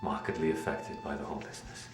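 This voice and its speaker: An actor playing a shaken, grieving gentleman lover in an audio drama, with a halting, emotional delivery. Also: rumbling low, completely flat delivery, echoey room, over music. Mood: grieving, confessional. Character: Parker Raleigh, grieving secret lover, shaken career man.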